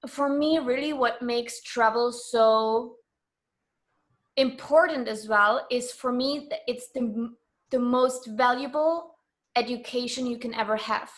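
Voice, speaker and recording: Higher-voiced woman from Germany, chatting candidly. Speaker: interview guest. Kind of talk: casual interview chat.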